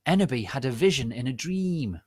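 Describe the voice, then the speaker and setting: A man's voice: mid-range voice, big pitch swings, a little fast, darkish tone, no room sound, clean studio sound, voice pushed up. A man voicing an angry, overwrought gothic teenage boy wizard in a dramatic story reading. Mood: urgent.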